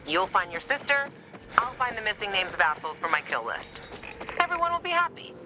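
A woman's voice: medium pitch, big pitch swings, fairly quick, music in the background, lightly acted, poor audio. An actress playing a tough, vengeful young woman fighter in a video game, with a sardonic manner. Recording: clear game noise behind.

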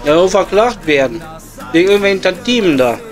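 Man playing a high-voiced, crude Ruhr miner. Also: big pitch swings, brisk, soft background music. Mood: scornful. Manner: blunt, crude, colloquial. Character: coarse, disdainful coal miner, hard-drinking pub regular. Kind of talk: improvised comedy audio play.

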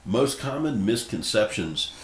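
Deep-voiced older host, roomy studio. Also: male voice, lively intonation, a little slow. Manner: brief, reads questions from notes. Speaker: podcast host. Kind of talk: interview questions. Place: small home studio room. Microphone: desk mic on stand. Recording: roomy with faint background noise.

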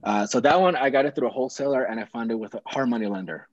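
A man's voice: medium pitch, fast talker, dry recording. A young man from Peru chatting casually on a video call from a small room at home, telling a rambling story full of fillers and restarts. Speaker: real estate investor guest. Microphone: video call with earbuds. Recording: dull, dry video call audio.

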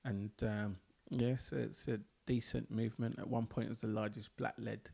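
A man in his thirties, a church leader, talking in a relaxed conversational way with frequent ums and easy laughs, on a handheld mic in a small room. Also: lowish voice, moderate pace, creaky, breathy edge. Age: 30s. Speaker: church leader, mission coordinator. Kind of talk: casual conversation.

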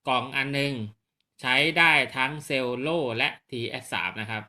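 Casting: man, very bright forceful voice, polite casual gear demonstrator who checks in with viewers. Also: mid-range voice, steady tone, moderate pace. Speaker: presenter of radio gear demo on his own video channel. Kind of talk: casual hands-on product demonstration.

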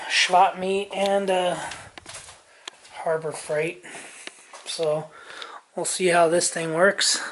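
A man, casually thinking aloud lots of ums and soft muttering while he tests wiring outdoors. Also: a little high, a little hiss or hum. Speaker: vlogger. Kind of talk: casual hands-on narration while testing a tractor's wiring. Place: outdoor yard and garage workshop.

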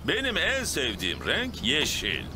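A man's voice: a little high, wildly swooping pitch, measured pace, heavy background noise. A man voicing a playful, jovial father pig in a children's cartoon. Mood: cheerful.

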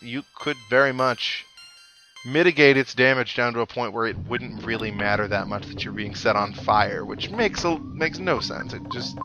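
A man giving casual video game commentary as a let's play host, rambling and thinking aloud with mild exclamations. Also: mid-range voice. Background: video game music.

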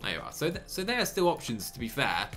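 A young man in his twenties streaming a cycling management game from a small room on a headset, chatty and excitable, laughing often while reading chat. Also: mid-range voice, fast, faint music behind. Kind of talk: live gameplay commentary and chat reading.

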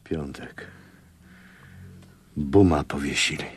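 An actor playing a grim, distressed man bringing bad news in a radio drama, with a husky voice. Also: a bass voice, takes it slowly, heavily acted.

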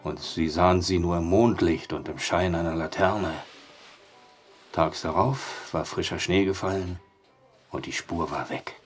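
Dry sceptical bartender in a german radio play, lowish voice, soft music behind. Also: male voice, unhurried, husky. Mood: dry, skeptical. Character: skeptical, dry male barkeeper trading stories. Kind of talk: German audio drama acting.

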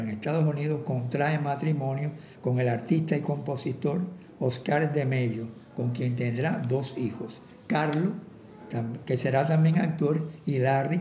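A man's voice: mid-range voice, dark tone, echoey room, a little hiss or hum. An older man, a film critic, reading a prepared lecture at an office desk, with occasional restarts. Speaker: film critic giving a talk. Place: office with a desk and cabinets, indoors.

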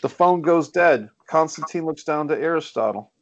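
A man's voice: a little high, lively intonation, normal speed, no echo. Man hosting, dull lo-fi sound. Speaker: table read host and narrator. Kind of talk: reading stage directions, casual moderating. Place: online video call. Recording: dull lo-fi video call.

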